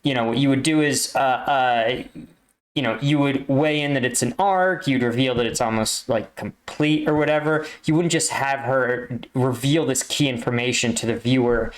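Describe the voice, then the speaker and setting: A man's voice: medium pitch, moderate pace, poor audio. Male reaction host, casual and critical, explanatory delivery with an exasperated streak. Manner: exasperated, explanatory, rhetorical "right?" tags. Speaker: reaction video host, army combat veteran.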